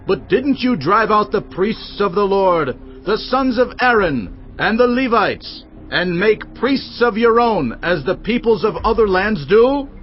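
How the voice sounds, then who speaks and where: A man's voice: high voice, muffled recording. An actor playing a righteous king addressing an enemy army, declaiming solemnly in a dramatized audio Bible.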